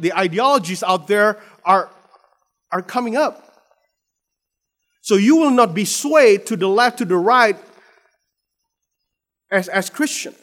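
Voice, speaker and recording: Man, Indonesian accent, preacher, fairly high voice, stumbling restarts, emphatic stress, long hall reverb. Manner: many restarts and repeated words, rising to emphatic stress. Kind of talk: sermon.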